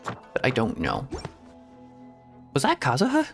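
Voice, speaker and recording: A man's voice: mid-range voice, wildly swooping pitch, a little slow, vocal fry. A man streaming a video game, chatting and rambling casually and laughing often. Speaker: VTuber streamer. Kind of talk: casual gameplay stream commentary. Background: faint game music.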